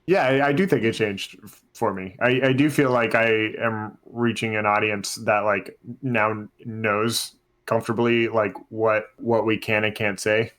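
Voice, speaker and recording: Male podcast host, lowish pitch, informal and conversational, repeats and rephrases as he goes. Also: steady tone, measured pace, a bit bright. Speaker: teacher. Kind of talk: casual podcast discussion.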